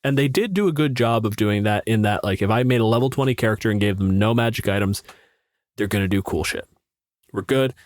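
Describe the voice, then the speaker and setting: A man's voice: on the low side, fairly quick, no room sound, studio quality. A man co-hosting a podcast as a game master, chatting casually and bluntly about tabletop rules, with opinions and plenty of swearing.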